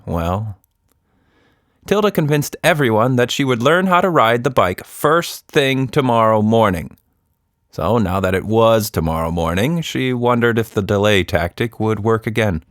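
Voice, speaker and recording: A man narrating a scripted children's story in an expressive storyteller's manner. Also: fairly low pitch, no echo, clean studio sound. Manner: expressive, animated reading.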